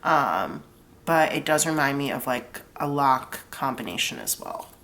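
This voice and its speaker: A woman's voice: rumbling low, big pitch swings, a little slow, a bit bright. A young woman with a stuffed-up nose from a cold, chatting casually through a tarot reading and explaining each pull with lots of "like". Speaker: tarot reader.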